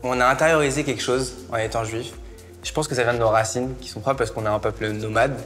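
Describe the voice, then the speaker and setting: Quick-talking young man. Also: medium pitch, fairly even intonation, fairly bright tone, soft background music. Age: 20s. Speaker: interviewee.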